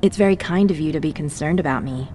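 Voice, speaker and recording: A woman's voice: low voice, quick pace, dark tone, big-room sound, a little hiss or hum, lightly acted. A woman playing a poised, ambitious researcher in a video game, polite, composed and sure of herself. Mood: polite, uneasy. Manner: polite, composed, self-certain.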